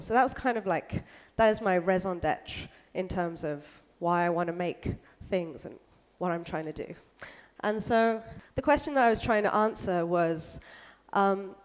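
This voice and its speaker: A woman gives a relaxed talk from a stage in a hall, and her voice rings with a long reverb. She speaks at a middle pitch and leans on fillers like "kind of" and "like" as she goes. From United Kingdom. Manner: casual, many fillers like "kind of" and "like". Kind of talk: conference talk. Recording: echoey hall.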